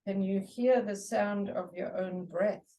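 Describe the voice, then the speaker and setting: A woman teaching a guided meditation, giving soothing, unhurried instructions in short phrases with pauses. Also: medium pitch, mellow, far from the mic, poor audio.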